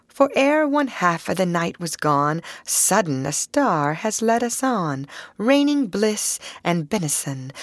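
Earnest young field mice, bashful boys from a storybook voiced by a woman, recite carol verse. The delivery lilts with lively, raised pitch and very little room sound. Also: no echo, clean studio sound.

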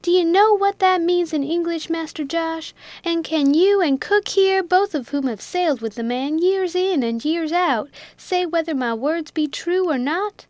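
Woman doing a passionate male ship's steward, high strained voice, heated, pleading, dry close sound. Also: unhurried, no room sound. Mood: indignant.

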